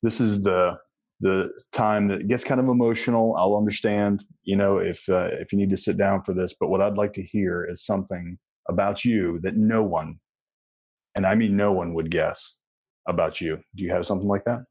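A man's voice: deep voice, steady tone, normal speed, a little mellow. A man hosting a podcast interview in a casual, bantering way with loosely phrased questions.

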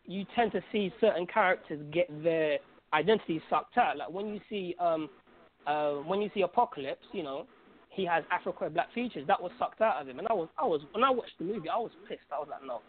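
A man's voice: on the high side, slight background noise. A man from Algeria chatting casually as a guest caller on a podcast, emphatic and slangy, often repeating his phrases. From Algeria, North Africa. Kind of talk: casual opinionated chat. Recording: phone-like, narrow tinny call sound.

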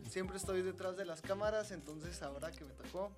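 A man's voice: sits high, a little fast, over music. A man, a podcast guest who rambles through stories and laughs as he talks. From Mexico. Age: 20s. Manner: rambling storyteller, laughs while talking. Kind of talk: casual podcast chat.

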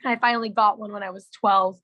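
Raspy-voiced young woman, dry sound. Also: medium pitch, a little fast, no echo. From Canada. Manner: animated storytelling, laughs often, swears. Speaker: podcast guest, musician. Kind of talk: casual chat.

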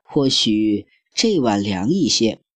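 A man's voice: on the low side, very animated, takes it slowly, husky, no room sound, full character voice. A man voicing a spoiled, whiny little blonde girl who keeps complaining, in a storybook reading. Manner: complaining, petulant.

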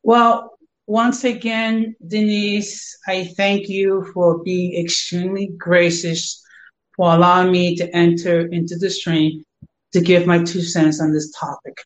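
An older man, a guest on a livestream, speaks in an earnest, measured and slightly formal way. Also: high-pitched, level tone, poor audio. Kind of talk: casual chat, earnest thanks.

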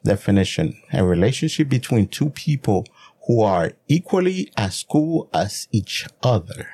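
A man's voice: fairly low pitch, big pitch swings, measured pace, mellow, breathy edge. A man with a Puerto Rican Spanish accent, a native Spanish speaker, co-hosting a podcast in casual chat, laughing often and swearing freely. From Puerto Rico. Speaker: podcast co-host.

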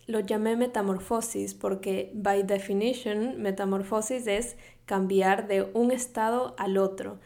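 A woman's voice: medium pitch, a little mellow. A young woman with an Ecuadorian accent from Quito, hosting her own podcast in a chatty, upbeat way, laughing often and slipping English words into her talk. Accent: Ecuadorian Spanish from Quito. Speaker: podcast host and health coach. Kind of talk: solo podcast monologue.

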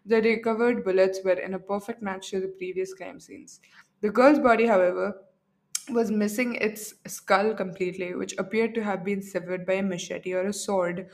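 A woman's voice: mid-range voice, fairly even intonation, moderate pace, darkish tone. A young woman from India hosting a casual true-crime chat, rambling with lots of fillers and jokes. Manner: rambling, filler-heavy, joking. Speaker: podcast co-host.

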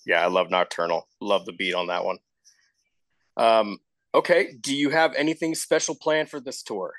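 A man's voice: fairly low pitch, moderate pace, a bit bright, no echo. A man hosting a casual interview, informal and encouraging as he talks. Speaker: show host, interviewer.

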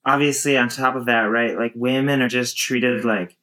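A woman in her thirties with a low voice, talking casually and thoughtfully with many fillers and restarts. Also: fairly even intonation, moderate pace, a bit bright. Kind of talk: casual conversation.

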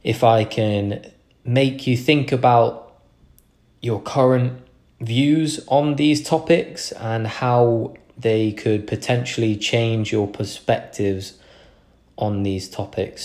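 A man's voice: mid-range voice, a little mellow. A young man hosting a podcast, talking through a casual motivational monologue in an earnest, rambling way with frequent restarts. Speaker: podcast host.

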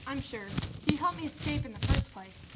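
A woman's voice: sits high, animated, moderate pace, very noisy. A woman playing a hopeful, trusting young woman on the run, in an amateur radio drama.

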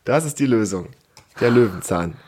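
A man's voice: on the low side, lively intonation, normal speed, darkish tone, slight background noise. A man hosting a casual family podcast, chatting in a patient, encouraging way and hesitating as he explains. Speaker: podcast host.

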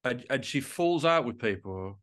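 A man's voice: medium pitch, animated, unhurried, fairly bright tone. A middle-aged man speaking as an interview guest in a conversational way, thoughtfully and with hesitant rephrasing. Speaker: guest musician, composer and writer.

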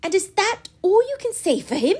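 A woman voicing a passionate, romantic young woman who speaks high and emphatic. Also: lively intonation, unhurried, a little mellow. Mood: indignant.

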